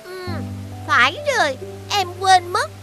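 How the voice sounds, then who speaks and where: A woman voicing an eager, earnest little fairy girl in an animated fairy tale. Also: extremely high pitch, unhurried, music in the background. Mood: cheerful.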